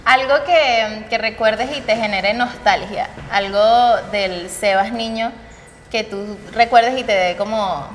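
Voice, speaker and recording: A woman's voice: mid-range voice, slow pace. A young woman hosting a casual podcast interview, speaking expressively with exclaimed reactions. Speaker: podcast host. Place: small indoor podcast studio. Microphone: close boom-arm microphone. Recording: echoey room with noise.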